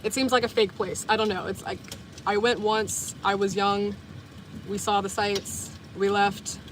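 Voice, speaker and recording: A woman's voice: medium pitch, little pitch movement, measured pace. Chatty young woman with background noise. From US South. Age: 20s. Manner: chatty, rambling, full of "like" and self-corrections. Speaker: vlogger and livestreamer. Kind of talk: casual chat with viewers.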